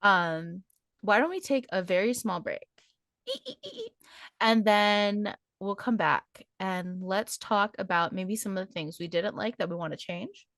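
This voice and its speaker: A woman's voice: medium pitch, a little slow, a bit bright, no echo, studio quality. A woman in her late twenties co-hosting a casual podcast, telling stories with lots of laughter and filler words. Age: 20s. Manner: chatty storyteller, laughs often, many "like" fillers. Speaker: podcast co-host.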